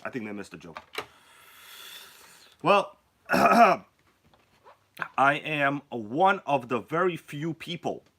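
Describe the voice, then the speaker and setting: A sick man hosts a casual live stream, his voice worn down by a cold. He jokes around and greets viewers by name in a bright, forceful tone. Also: on the high side, sing-song, slow, long pauses.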